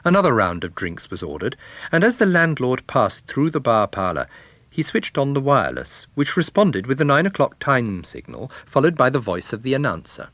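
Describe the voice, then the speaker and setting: A man's voice: medium pitch, big pitch swings, moderate pace, a bit bright, no echo. A man giving a scripted narration of a detective story as an audiobook narrator.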